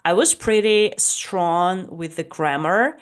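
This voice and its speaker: Woman, late 20s, Russian accent, native Russian, low smooth voice, hesitant casual vlog talk. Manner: a bit shy, hesitant, with frequent pauses. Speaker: English teacher and channel host. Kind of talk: casual talking-head vlog introduction.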